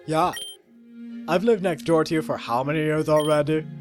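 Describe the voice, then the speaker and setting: A man's voice: a little high, fairly even intonation, moderate pace, over music, natural voice. A man voicing a friendly, easygoing teenage student in a visual novel, casual and amiable.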